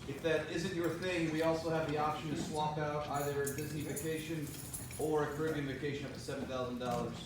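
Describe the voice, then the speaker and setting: A matter-of-fact man gives a committee update in a fairly high voice. He is heard from far off in a very echoey room. Dish and cutlery clatter carries under his voice. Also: steady tone, fast, background noise. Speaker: committee member. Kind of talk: meeting announcement.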